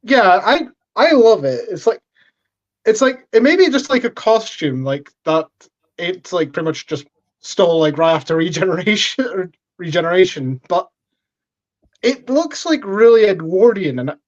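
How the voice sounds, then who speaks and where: Lively Scottish man, lo-fi mic. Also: high-pitched, moderate pace. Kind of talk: casual podcast chat. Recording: dull lo-fi mic.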